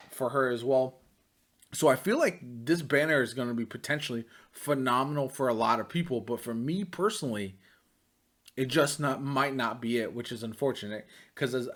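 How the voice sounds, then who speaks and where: A man giving casual, off-the-cuff video game commentary in a loose, rambling way, as a gaming YouTuber. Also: medium pitch.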